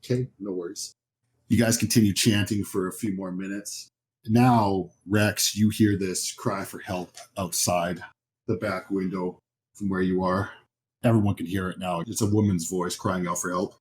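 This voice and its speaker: A man's voice: low voice, steady tone, moderate pace, slight background noise. A man working as game master, narrating a horror tabletop roleplaying game in a conversational, descriptive way. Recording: music behind the voice. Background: music.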